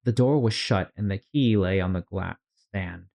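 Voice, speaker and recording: A man reading a children's story aloud as host, in an expressive, theatrical way. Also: low-pitched, unhurried, vocal fry.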